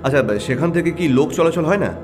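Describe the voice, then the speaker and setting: A keen, brooding private detective acted by a man in an audio drama. He probes and stresses his points in a fairly high, very animated voice, with soft music underneath. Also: fast talker, natural voice. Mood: probing.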